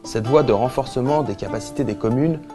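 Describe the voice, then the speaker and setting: A man reading scripted documentary narration in a formal, measured manner. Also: medium pitch. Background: guitar music.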